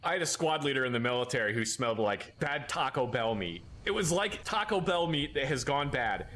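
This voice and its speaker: A man casually telling military anecdotes, animated, with laughing asides. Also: medium pitch, a little fast. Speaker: podcast guest, military veteran. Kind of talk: casual storytelling.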